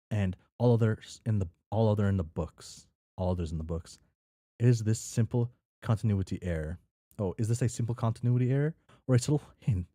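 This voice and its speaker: Low, very mellow, dark-voiced man. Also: no room sound, clean studio sound. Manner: mumbly offhand asides, stumbles over words when reading. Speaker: host of a read-along stream. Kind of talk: casual chat while drawing and reading a story aloud.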